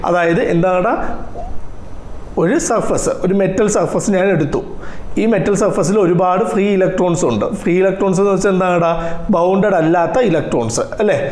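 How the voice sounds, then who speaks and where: A man's voice: high-pitched, moderate pace, a little mellow, roomy sound. A man with a Malayali accent lecturing as a teacher, constantly putting questions to his students and answering them himself. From Kerala, India. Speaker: physics teacher at an online coaching class. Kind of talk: classroom lecture with English technical terms.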